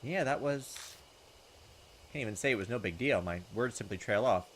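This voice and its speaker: A man hosting a gaming video, reading visual novel text aloud and adding jokey, expressive, sometimes profane commentary. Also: mid-range voice, normal speed, slight background noise. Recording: loud game music behind the voice. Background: game soundtrack.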